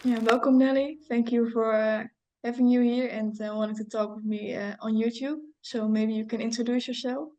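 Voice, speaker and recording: High-voiced young Dutch woman, music behind. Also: steady tone, moderate pace, mellow. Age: young adult. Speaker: YouTube host and trader. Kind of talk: casual video chat.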